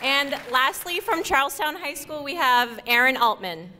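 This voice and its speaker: A woman on the program staff, announcing names at a ceremony in a friendly, informal way with filler pauses. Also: high voice, big pitch swings, crowd noise, background noise. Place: event hall.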